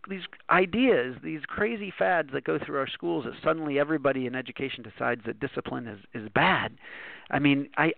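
Animated gravelly man on a phone line. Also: a little high, no echo. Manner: animated, repeats words as he starts sentences. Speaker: author and guest. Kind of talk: phone-in interview. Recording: dry, tinny phone line.

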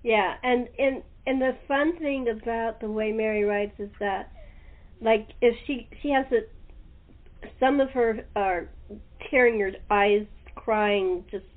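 A woman's voice: a little high, takes it slowly. A woman host chatting casually with guests in an informal, agreeable way. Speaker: host interviewing radio-play cast. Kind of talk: casual chat. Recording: thin narrow-band call audio.

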